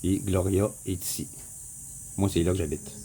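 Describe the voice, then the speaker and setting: A man with a Québécois accent, casually walking viewers through a map on his screen, rambling, repeating himself and clearing his throat often. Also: low voice, fairly even intonation, moderate pace, mellow, slight background noise. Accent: Québécois French. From Quebec. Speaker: vlogger. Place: home in the Philippines.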